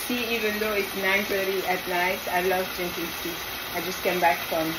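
A middle-aged woman from Senegal with a French West African accent, giving an encouraging, casual cooking demonstration in her home kitchen. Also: fairly low pitch. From Senegal, grew up in the south of France. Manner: encouraging, addresses viewers affectionately. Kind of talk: casual instructional cooking vlog. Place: small home kitchen, indoors. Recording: loud sizzling and stirring kitchen noise. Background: frying, sizzling, stirring.

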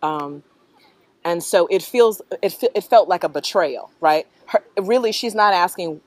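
Middle-aged American woman, lowish voice, thoughtful and halting interviewee, dry close sound. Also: no echo. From North Carolina, US. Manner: thoughtful, halting, often checks in with "right?". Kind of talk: reflective personal interview answers. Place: outdoors in a leafy park.

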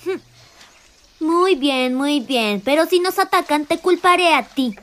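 A woman voicing a haughty, harsh female animal army commander in an animated fan dub, speaking with contempt. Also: high-pitched, unhurried. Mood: scornful.

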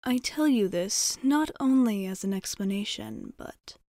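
A woman playing a courteous, guarded vampire bartender in an ASMR roleplay, speaking in soft, measured, formal phrases. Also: mid-range voice, lively intonation, dry recording, natural voice. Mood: grave, cautious. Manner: polite, measured, formal, often dropping into near-whisper.

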